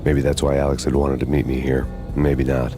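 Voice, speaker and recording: An actor playing a world-weary undercover cop who narrates a noir story in a dry, sardonic way. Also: male voice, rumbling low, level tone, fairly quick. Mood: grim. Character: grim hard-boiled male undercover cop narrating. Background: music.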